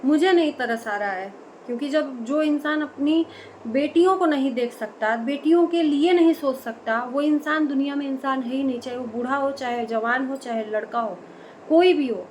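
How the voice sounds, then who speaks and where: A woman's voice: high voice, moderate pace, darkish tone, low-quality recording. A woman from India giving opinionated vlog commentary in an emphatic way, pressing her points with rhetorical questions. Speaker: online commentator. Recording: noticeable background noise.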